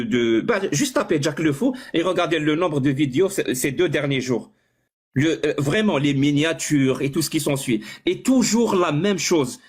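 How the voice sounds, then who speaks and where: Man, debate participant, slightly high voice, insistent, repetitive, stumbling. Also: breathy edge. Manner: insistent, repeats and stumbles over words. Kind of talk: heated online debate.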